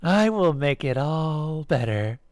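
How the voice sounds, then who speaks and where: A man's voice: a little high, big pitch swings, slow, darkish tone, dry recording, clean studio sound. A man voicing a girl in a children's pageant who plays a loving mother goddess, proclaiming grandly and sweetly in a story. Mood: tender, promising.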